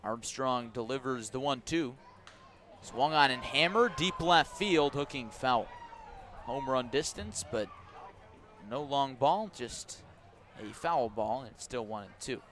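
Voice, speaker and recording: A man with an American accent doing conversational live radio baseball play-by-play, mixing clipped pitch calls with storytelling and wry asides. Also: slow pace, fairly bright tone. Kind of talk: live radio sports commentary mixed with storytelling.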